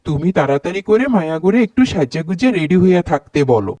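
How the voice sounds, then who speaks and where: A man voicing a rustic, soft-hearted middle-aged father in a cartoon, with a Bangladeshi accent and a pleading manner. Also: on the high side, expressive, fast talker, no room sound, lightly acted. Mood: eager. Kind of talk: Bengali cartoon voice acting.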